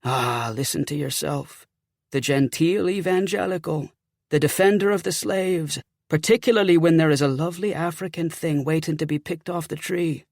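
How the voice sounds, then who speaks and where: A man plays a dead young officer's ghost in a period audiobook. He taunts with a sardonic Celtic lilt, casual and gravelly, very close and dry. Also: fairly high pitch, normal speed, darkish tone, no room sound, lightly acted. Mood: mocking, accusing. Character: mocking ghost of a slain young Union officer. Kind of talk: audiobook character acting.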